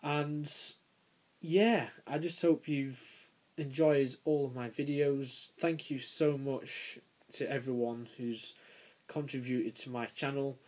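A young man around nineteen giving a casual, unscripted review as a video creator, halting and pausing mid-phrase. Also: mid-range voice, a little mellow. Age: young adult, about 19. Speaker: YouTube toy reviewer. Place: small bedroom, indoors. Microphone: handheld camera's built-in mic.

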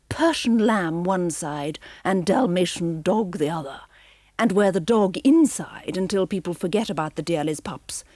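A woman reading a storybook aloud and voicing a cruel, fur-obsessed rich woman who gives orders in a shrill, imperious voice. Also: darkish tone, breathy edge, no room sound, studio quality. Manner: imperious, shrieking, gleefully cruel.

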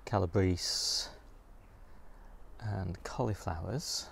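A middle-aged man narrates casually as he walks around outdoors. His voice sits fairly low, with a noticeable gravelly creak. He sounds understated and unscripted, musing aloud over faint open-air noise from a handheld recording. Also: extremely slow. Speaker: gardener giving a garden tour. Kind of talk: casual unscripted vlog narration while walking. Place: outdoors in a home garden. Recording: clear outdoor background noise.

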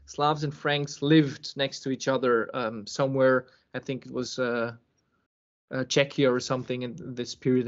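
A man, hosting a podcast interview over a video call and pausing often with ums and restarts. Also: mid-range voice. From Serbia. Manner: hesitant, many ums and restarts. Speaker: podcast host. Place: home room with bookshelf. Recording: muffled video-call audio.

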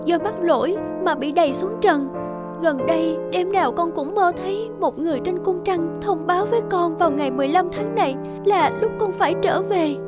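A woman voicing a gentle, earnest, pleading young fairy maiden in a children's cartoon. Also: very high-pitched, a little fast, loud music behind the voice. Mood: sorrowful. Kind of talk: Vietnamese children's cartoon dubbing.

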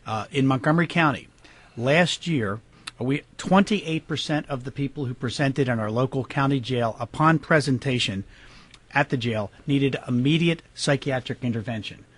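An American man answering as a radio interview guest, halting and repeating words as he stresses his points. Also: mid-range voice, husky. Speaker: interview guest, county prosecutor.

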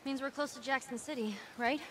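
A woman's voice: sits high, steady tone, fairly quick, a little hiss or hum, lightly acted. A woman voicing a curious, cheeky teenage girl with an American accent in a video game. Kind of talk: naturalistic video game acting.

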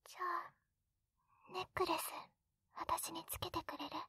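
A woman playing a sweet, clingy young wife in an intimate binaural ASMR roleplay, speaking softly and breathily close to the mic. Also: extremely high pitch, fairly even intonation, a little slow, dry recording, exaggerated voice. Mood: tender, relieved. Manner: hesitant, soft, breathy, clingy. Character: sweet, tipsy, jealous young wife doting on her husband. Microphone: close binaural dummy-head microphone.

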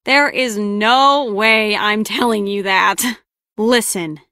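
A woman's voice: medium pitch, takes it slowly, sharp tone, clear, resonant tone, no room sound. An actress playing a hard-nosed, sardonic female spy in a video game, with a dry, wry manner. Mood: guarded, wry.

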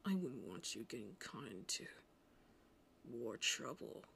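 An arrogant male anime vampire, acted out by a woman, speaks low and slowly to the listener. The voice is breathy and gravelly, swinging widely in pitch as it teases with cold, hungry smugness. It suits an intimate roleplay audio drama. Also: sing-song, a bit bright, a little hiss or hum, full character voice. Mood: bossy, possessive. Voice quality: low, breathy, often whispering. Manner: slow, smug, teasing.

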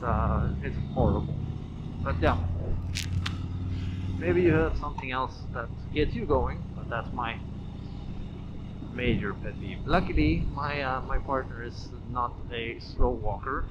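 A man rambling casually and haltingly, thinking aloud as he vlogs from a moving motorcycle. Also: medium pitch. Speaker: motovlogger. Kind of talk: casual rambling vlog while riding a motorcycle. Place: outdoors on a moving motorcycle on a road. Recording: muffled helmet audio, loud wind and engine noise.

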